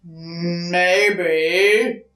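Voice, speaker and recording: A woman voicing a big growling polar bear in a storybook, loud, emphatic and drawn-out. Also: fairly low pitch, fairly even intonation. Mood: gruff, confiding. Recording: roomy echo, distant mic.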